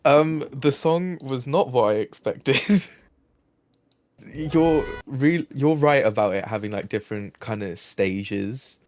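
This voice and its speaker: A man's voice: medium pitch, slow pace, a little mellow. A man co-hosting a live stream, answering in a hesitant, laid-back way with short replies. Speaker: co-host and editor. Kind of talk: casual chat.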